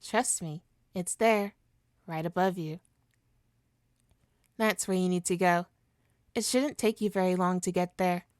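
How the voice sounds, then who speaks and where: Lady doing a supervillain hypnotist for asmr, really slow n soothing, close dry sound, politely possessive. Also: fairly low pitch, clear, resonant tone, no room sound, lightly acted. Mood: soothing, hypnotic. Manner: soothing, deliberate, coaxing, mock-polite. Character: suave female supervillain hypnotist, coaxing and possessive. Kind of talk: ASMR roleplay, scripted hypnosis monologue.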